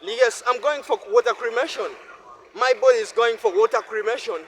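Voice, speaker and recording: High-voiced young man rambling on a night walk. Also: fairly quick, fairly bright tone, slight background noise. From Uganda. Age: 20s. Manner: repetitive, preachy, talks to himself in loops. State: sweaty after walking fast. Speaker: vlogger. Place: city street at night.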